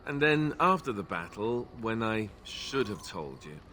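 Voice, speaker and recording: An actor playing an awkward, self-deprecating young warrior and reluctant heir in a fantasy video game, speaking in a hesitant, earnest, apologetic way. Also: male voice, lowish voice, very animated, a little slow, noisy background. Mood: sheepish.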